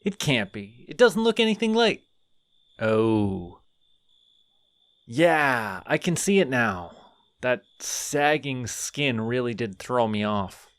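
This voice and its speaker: A man doing a chatty, slow-talking skeleton. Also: mid-range voice, sing-song, fairly bright tone, no echo. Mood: friendly. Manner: rambling, sheepish, talks to himself. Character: friendly, chatty male skeleton digging up graves. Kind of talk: audio roleplay acting.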